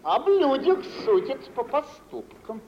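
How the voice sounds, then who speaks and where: Very high-pitched, insecure jealous fiancé. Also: male voice, sing-song, heavily acted. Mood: jealous, probing. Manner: agitated, halting, repeats words when pressing. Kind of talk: 1950s Russian radio theatre acting. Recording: muffled, hissy mid-century radio recording.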